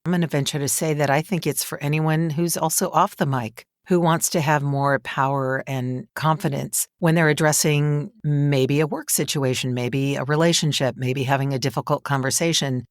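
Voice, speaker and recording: A woman's voice: low-pitched, steady tone, normal speed, hardly any pauses, no room sound, clean studio sound. An older woman hosting a podcast interview on a headset, a little tired, asking long reflective questions. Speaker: podcast host.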